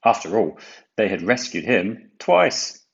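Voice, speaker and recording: A man reading a children's storybook aloud, with deliberate, dramatic emphasis. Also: on the low side, very animated, vocal fry, dull audio. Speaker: storybook reader. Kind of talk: expressive children's read-aloud narration.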